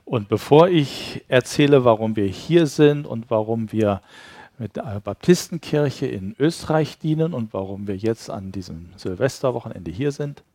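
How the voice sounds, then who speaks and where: A man's voice: medium pitch, lively intonation, normal speed, mellow, no echo. Male sermon interpreter, dry sound. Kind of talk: live consecutive interpreting of a sermon.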